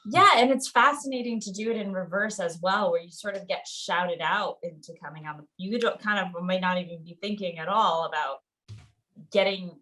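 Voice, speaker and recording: A woman's voice: on the low side, normal speed, sharp tone, poor audio. A woman hosting a casual podcast chat, supportive and interested in her guests. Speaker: podcast host.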